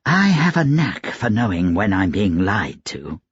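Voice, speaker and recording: A man voicing a cold, educated gentleman lord in an audiobook, quiet and steady, calmly threatening. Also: on the low side, breathy edge, no echo, muffled recording, exaggerated voice. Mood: calm, curt. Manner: calm, collected, deliberate threats. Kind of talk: audiobook character dialogue.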